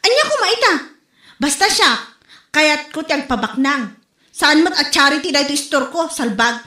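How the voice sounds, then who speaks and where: A brash, penny-pinching village storekeeper played by a woman in a comedy radio play. Her voice is very high and piercingly bright, with a dismissive, scolding delivery. The sound bounces around an echoey room. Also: expressive, moderate pace. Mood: defiant. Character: stingy, brash female village storekeeper who refuses credit. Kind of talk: comedy radio drama acting.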